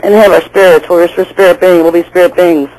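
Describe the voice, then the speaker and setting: A woman's voice: on the low side, steady tone, fairly quick, a little mellow, dry recording, low-quality recording. A woman hosting a call-in radio show, chatty and laughing easily, often restarting her sentences.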